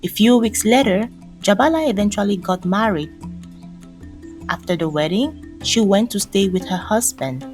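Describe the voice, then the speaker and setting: A woman's voice: mid-range voice, lively intonation, normal speed, a little mellow. A woman storyteller giving a scripted narration of a folktale. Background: music.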